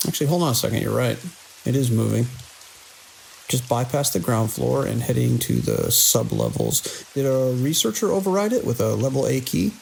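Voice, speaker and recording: Casting: actor as skeptical night-shift security boss, male, heavy vocal fry, lively intonation, dry authority, horror audio drama. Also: mid-range voice, a little hiss or hum, poor audio. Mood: dry, businesslike. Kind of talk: immersive audio drama acting.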